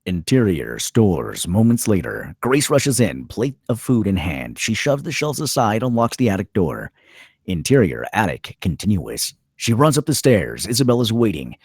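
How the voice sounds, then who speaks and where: A male narrator reads screenplay directions dramatically. His voice is deep and husky, with expressive swings in pitch. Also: moderate pace, dry recording, clean studio sound. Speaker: narrator reading stage directions.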